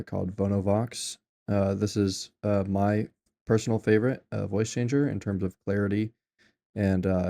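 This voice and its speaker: Gravelly low-voiced man explaining software. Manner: loose and rambling, many fillers and restarts. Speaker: tutorial maker. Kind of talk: casual screen-recorded software tutorial.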